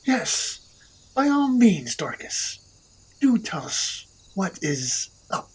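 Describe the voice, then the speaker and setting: A performer playing an imperious, possessive supernatural boss in an audio drama, mocking and haughty, with a husky voice. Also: mid-range voice, sing-song, slow pace, heavily acted. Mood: sardonic.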